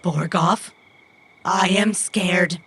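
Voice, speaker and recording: A woman playing an earnest robot companion in an audio drama, literal-minded and brave though anxious, with stilted, formal, deliberate phrasing. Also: on the low side, fairly even intonation, fairly bright tone, husky, heavily acted. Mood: nervous, tender.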